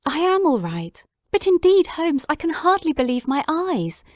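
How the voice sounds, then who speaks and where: An excitable male doctor friend played by a woman speaks in high, breathless exclamations in an audiobook drama. The voice is raised well above her narrating voice and recorded close and dry. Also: moderate pace, dry recording. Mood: astonished.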